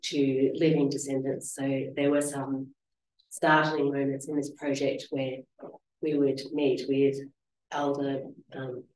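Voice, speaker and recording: Quiet-voiced Australian woman in a roomy hall. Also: extremely low pitch, level tone, slow. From Western Australia. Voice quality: quiet soft voice. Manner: gentle, reflective, frequent soft ums. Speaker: author reading and in conversation. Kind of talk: literary conversation and reading intro. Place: lecture room, seated on a small stage. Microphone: handheld mic held at chest. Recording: roomy lecture hall echo.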